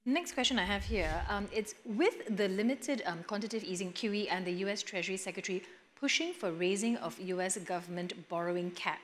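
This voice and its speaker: A woman moderating a studio panel, briskly reading out audience questions, on a lapel mic. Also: mid-range voice, a little hiss or hum. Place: studio stage set.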